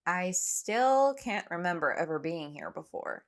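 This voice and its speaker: An actress playing a troubled young castaway woman, telling her story earnestly and with measured care in an audio drama. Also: fairly low pitch, big pitch swings, creaky, heavily acted. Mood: earnest, searching. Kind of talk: dramatic first-person audio drama narration.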